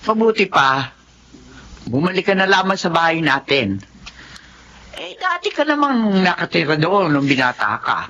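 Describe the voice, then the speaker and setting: Older filipino lola type, radio drama mom, opinionated n caring, lively delivery. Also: female voice, fairly low pitch, moderate pace, long pauses, highs cut off, full character voice. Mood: advising. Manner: advising, motherly. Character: concerned, opinionated elderly mother and grandmother.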